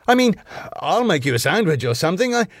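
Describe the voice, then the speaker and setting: A man's voice: on the high side, expressive, normal speed, no room sound, studio quality, voice pushed up, lightly acted. A man voicing an exasperated, long-suffering middle-aged bar manager in a script reading, wordy and sarcastic. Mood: indignant.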